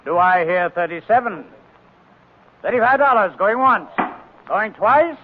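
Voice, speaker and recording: A narrow, tinny radio recording carries a smooth, crooked auctioneer. He is measured and calculating, in 1940s crime drama style. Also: high-pitched, lively intonation, noisy background. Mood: showy patter. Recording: muffled narrow-band old radio recording.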